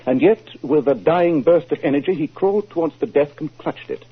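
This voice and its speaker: An actor playing a self-assured English detective in a 1940s radio drama, deliberate, authoritative and incisive. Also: male voice, on the high side, husky. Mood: explaining, assured. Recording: muffled 1940s radio broadcast recording.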